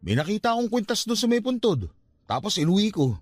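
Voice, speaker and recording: A stubborn young Filipino nephew in a radio drama. His voice is high for a man and very animated, pleading and arguing defensively, with music underneath. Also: fast talker, no room sound. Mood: reluctant, defensive. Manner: pleading, argumentative, expressive.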